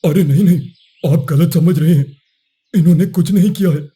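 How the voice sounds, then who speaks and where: A man's voice: fairly high pitch, normal speed, warm tone, lightly acted. A man voicing a courteous royal soldier in a cartoon story, formal and respectful. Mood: firm, polite. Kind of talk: cartoon moral story voice acting.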